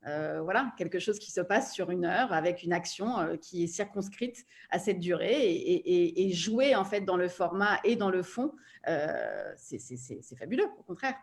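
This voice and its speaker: A woman's voice: fairly low pitch, expressive, normal speed. A woman, speaking conversationally as a guest on a discussion panel.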